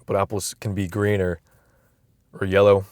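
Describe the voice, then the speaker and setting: A man's voice: low-pitched, monotone, normal speed, a little mellow, no echo, clean studio sound. A young man vlogging from inside a car, musing aloud in a loose, rambling way with frequent restarts, recorded on a phone camera. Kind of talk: casual rambling vlog.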